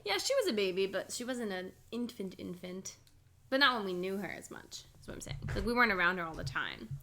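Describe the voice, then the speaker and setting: A woman's voice: mid-range voice, very animated, fairly quick, sharp tone, a little hiss or hum. A young woman co-hosting a casual chat podcast with her sister, talking loosely and laughing often. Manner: chatty, laughs often, lots of "like". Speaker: podcast co-host.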